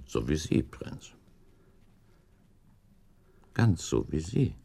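Radio play count: deep creaky voice, shrewd, suspicious, politely provocative. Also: male voice, sing-song, warm tone, heavily acted. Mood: sly, insinuating. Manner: pointed, courteous, deliberate. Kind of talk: German radio drama acting, formal literary dialogue.

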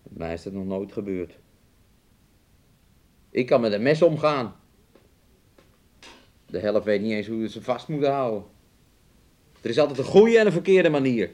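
A man's voice: fairly high pitch, a little mellow, lightly acted. An actor in a Dutch radio drama playing a volatile, taunting working-class man who swings between scorn and coaxing.

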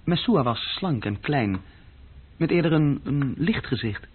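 A thin, phone-like old radio recording of a young clerk with a slightly husky voice. He recounts things in a restless, self-reproaching way, hesitating as he talks. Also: fairly high pitch, lively intonation, unhurried. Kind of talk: radio play dialogue and recollection.

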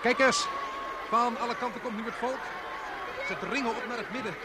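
An actor playing an excitable live broadcast commentator in a radio play, urgent and exclamatory. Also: male voice, very high-pitched, normal speed, over a noisy crowd. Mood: excited. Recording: loud noise around an outdoor-sounding commentary.

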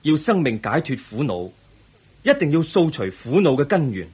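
An earnest, husky young truth-seeker. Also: male voice, fairly high pitch, big pitch swings, darkish tone, full character voice. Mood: solemn, resolute. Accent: Hong Kong Cantonese. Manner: measured, earnest, declamatory, preaching. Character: young prince seeking truth, calm, earnest, reasoning monk. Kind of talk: Buddhist radio drama acting.

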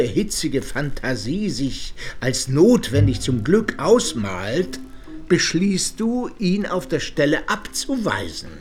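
An actor playing a stern, indignant middle-aged uncle in a German radio drama, speaking formally and reproachfully with weighty pauses. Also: male voice, a little high. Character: stern, indignant uncle, patriarch of a genteel household.

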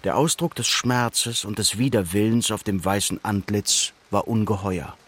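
A man narrating a literary radio drama in a measured, solemn and precise manner. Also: lowish voice, a bit bright, slightly rough.

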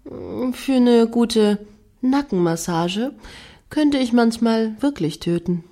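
An actress playing a composed, playfully self-justifying woman in a satirical radio monologue, measured and precise. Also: a little high, lively intonation, dark tone. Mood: mock-earnest.

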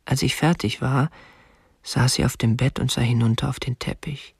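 An actor in a radio play as an awkward, obsessive, prim young kidnapper, speaking and narrating in a stiff, restrained way with slight huskiness. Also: male voice, mid-range voice, steady tone, normal speed, vocal fry, breathy edge, no room sound, studio quality. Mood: brooding, confessional. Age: young adult. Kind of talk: radio drama acting, first-person narration and dialogue.

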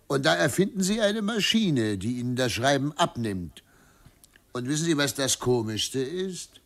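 A man's voice: fairly high pitch, a bit bright. An older actor playing a genial, self-mocking old governor and doting father in a radio drama, musing and good-humoured.

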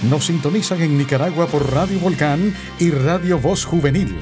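A man with a fairly high, very animated voice announces a scripted radio promo. Music plays clearly behind him.

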